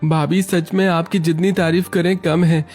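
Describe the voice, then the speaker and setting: A man's voice: a little high, level tone, normal speed, a little mellow, soft background music, close to an everyday voice. A man playing a young brother-in-law and husband in a cartoon family story, earnest and placating. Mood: grateful, admiring.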